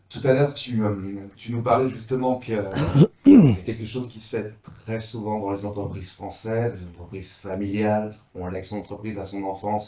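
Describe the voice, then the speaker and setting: Mellow male interviewer in echoey room. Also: fairly low pitch. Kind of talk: conversational interviewing. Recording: roomy, echoing live sound.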